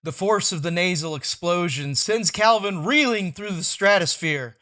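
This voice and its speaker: A man's voice: high voice, normal speed, pushed and bright. A man voicing a melodramatic space-adventure announcer from a comic strip in a grandiose, suspenseful, exclamatory way.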